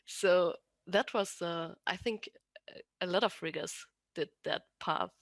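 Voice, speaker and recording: A woman's voice: fairly low pitch, measured pace, dry recording. A woman with an Austrian accent chatting casually as an interview guest, often restarting and correcting herself as she explains. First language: German. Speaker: podcast guest, rigger.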